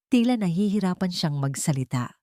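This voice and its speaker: A woman's voice: lowish voice, expressive, a little fast, warm tone, dry recording, clean studio sound. A woman narrating a scripted dramatic story as a storyteller.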